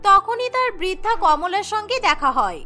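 A woman's voice: very high-pitched, big pitch swings, moderate pace, pushed and bright. A woman narrating a scripted cartoon story. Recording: music under the voice.

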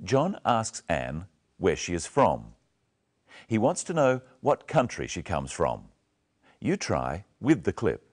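A man's voice: low-pitched, huge pitch swings, takes it slowly, a little mellow. A man presenting a scripted English lesson, instructing learners in carefully enunciated phrases.

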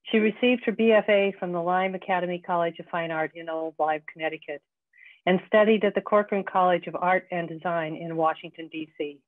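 A woman reading a formal scripted introduction of an artist in an even manner. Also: fairly low pitch, dry recording. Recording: dry, tinny phone-like audio.

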